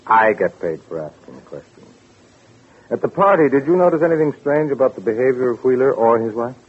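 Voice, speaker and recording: An actor playing a dry, businesslike police lieutenant in a 1940s radio drama, terse and probing. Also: male voice, medium pitch, expressive, brisk, breathy edge. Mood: probing, official. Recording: old radio recording, narrow band.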